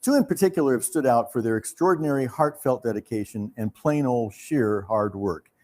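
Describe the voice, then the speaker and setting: A man giving a live thank-you speech as a company leader, earnest and measured, with pauses. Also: mid-range voice, expressive, darkish tone. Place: theater, live before an audience.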